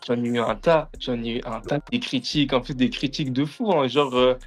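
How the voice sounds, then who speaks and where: A man's voice: mid-range voice, steady tone, a little fast. A young man from France, a podcast guest, chatting in an informal, slangy way full of little tag phrases. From France, of Antillean background. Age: young adult. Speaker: podcast guest, music media content creator. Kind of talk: casual chat, slangy.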